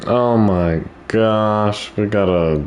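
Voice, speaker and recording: A man's voice: on the low side, very slow, darkish tone, muffled recording. A man giving casual gameplay commentary as a streamer, reacting as he plays. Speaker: gaming streamer. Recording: game music behind.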